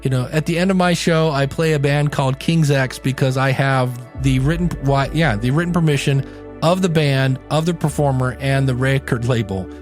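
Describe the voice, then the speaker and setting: A man hosting a podcast in a conversational solo style, speaking emphatically and slowing down for dramatic effect. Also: medium pitch. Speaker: podcast host. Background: background music.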